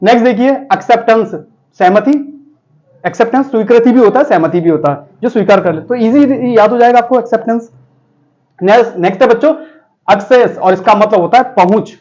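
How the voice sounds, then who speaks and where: Guy teaching vocab words, high voice, jokey and chatty, kinda dull lo-fi sound. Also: brisk, darkish tone. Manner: chatty, jokey, addresses students as children and friends. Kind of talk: classroom-style vocabulary lesson with jokes.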